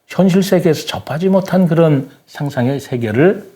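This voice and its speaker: An older man speaking as an interview guest, giving his opinions earnestly in a deliberate, formal and polite way. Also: a little high, expressive, warm tone.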